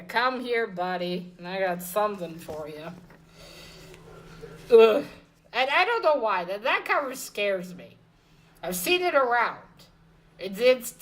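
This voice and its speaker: A woman's voice: on the high side, fairly bright tone, a little hiss or hum, poor audio. A woman giving a casual, unscripted comic book review, rambling and hesitant, correcting herself as she goes, with blunt informal language.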